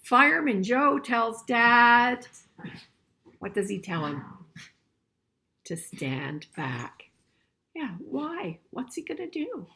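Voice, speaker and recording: An older woman reading a picture book aloud to children in an expressive way, pausing to chat and ask them questions. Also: on the high side, vocal fry, slightly rough. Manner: expressive, asking listeners questions as she goes. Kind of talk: children's storybook read-aloud with conversational asides and questions.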